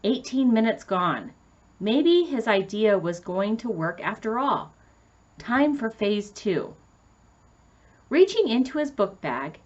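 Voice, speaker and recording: A woman's voice: mid-range voice, dull audio. A woman narrating a children's story in a scripted read-aloud style.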